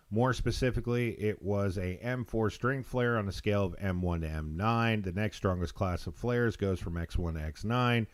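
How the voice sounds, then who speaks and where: An American man hosting a live call-in show, talking casually in a rambling, halting, informal way. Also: on the low side. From Texas, United States.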